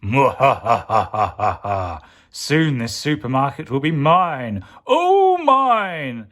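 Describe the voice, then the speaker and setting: A man's voice: mid-range voice, big pitch swings, slow, a bit bright. A man voicing a scheming, gloating evil pea in a children's storybook, with a theatrical, screeching manner.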